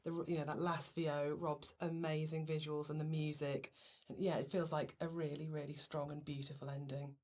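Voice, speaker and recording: A woman's voice: deep voice, flat delivery, normal speed, darkish tone. A woman speaking conversationally and reflectively as a game developer recording a director's commentary.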